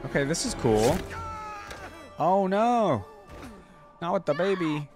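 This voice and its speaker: A man's voice: on the high side, big pitch swings, takes it slowly. Casual man reacting, music behind. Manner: informal running asides, slangy. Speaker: reaction video host. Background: music from the watched video.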